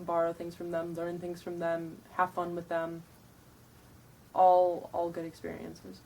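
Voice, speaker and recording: A young woman with a lowish, deeper voice talks straight to camera, blunt and casual, padding her points with ums and likes. She sounds tired. The mic sits a little away from her, with a faint hiss of noise behind. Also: darkish tone, recorded from a distance, poor audio. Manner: frank, blunt, chatty with many ums and likes. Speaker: vlogger giving reenactor tips. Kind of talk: casual advice talk to camera.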